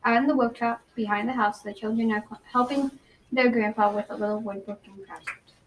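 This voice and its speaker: A woman's voice: fairly high pitch, fairly even intonation, fairly quick. A young-sounding female announcer reading scripted narration for an amateur radio drama. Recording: distant mic, faint noise.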